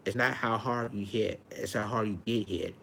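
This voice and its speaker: A man in his forties from Arkansas with a high-pitched voice, talking earnestly to his viewers in a casual monologue, often repeating and restarting his phrases. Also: normal speed, creaky, pushed and bright, slight background noise. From Arkansas, United States. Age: 40s. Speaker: vlogger.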